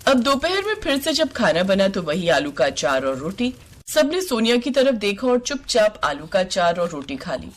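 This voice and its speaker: A woman's voice: medium pitch, very animated, moderate pace. A woman working as a narrator, reading scripted family stories in storytelling style.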